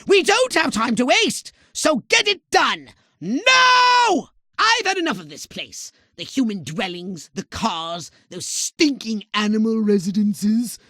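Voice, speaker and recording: A man voicing a pompous, short-tempered alien commander in a video game, haughty and precisely worded, with a nasal, sharp-edged delivery. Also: high-pitched, very animated, slow, dry recording, studio quality, heavily acted. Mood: impatient, commanding, then haughty disdain. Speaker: mission commander giving orders. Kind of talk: theatrical video game voice acting.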